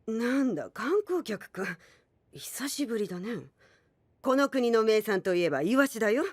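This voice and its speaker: A woman voicing a bossy, rule-bound eatery worker, curt and matter-of-fact, in an animated audio drama. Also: fairly high pitch, normal speed, dry recording, studio quality. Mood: brisk, matter-of-fact. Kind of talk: animated Japanese voice drama acting.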